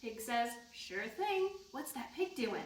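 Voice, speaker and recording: A woman's voice: a little high, big pitch swings, a little slow, slight background noise. A woman leading a storytime for young children, reading aloud and talking in a sing-song way with simple prompts. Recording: echoey room, distant microphone.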